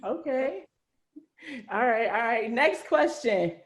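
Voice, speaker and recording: A woman's voice: a little high, animated, slow pace. A woman hosting a casual group video-call chat, talking in a chatty, slangy way with frequent laughter. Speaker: host and cast member of an audio series.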